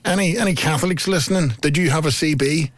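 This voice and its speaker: A man's voice: fairly high pitch, fast, no room sound. A middle-aged man with a Belfast accent, bantering casually and telling jokey anecdotes as a talk show co-host. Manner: jokey, animated storyteller. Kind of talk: casual comic banter, anecdotes and listener comments.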